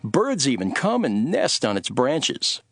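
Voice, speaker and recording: A man playing a calm, authoritative teacher telling parables in a measured, solemn dramatized scripture reading. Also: medium pitch, faint music behind, heavily acted. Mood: measured storytelling.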